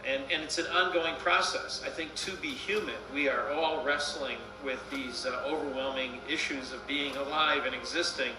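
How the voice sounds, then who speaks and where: A man's voice: medium pitch, steady tone, normal speed, few pauses. Man giving testimony in a roomy space. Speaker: mental health advocate with lived experience. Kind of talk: personal testimony, unscripted. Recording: roomy, distant, music underneath.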